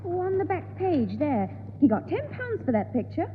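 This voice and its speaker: An actress playing a dry, no-nonsense teenage big sister in a 1960s radio sitcom. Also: female voice, very high voice, sing-song, normal speed. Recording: tinny echoing old radio recording with hiss.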